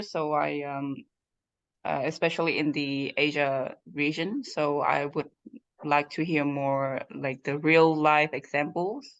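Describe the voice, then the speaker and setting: A speaker from Vietnam talks slowly and tentatively, stopping and restarting often. The voice comes through a muffled video call line. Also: mid-range voice. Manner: hesitant, many fillers and restarts. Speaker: workshop participant. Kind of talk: casual self-introduction. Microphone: video call, camera off.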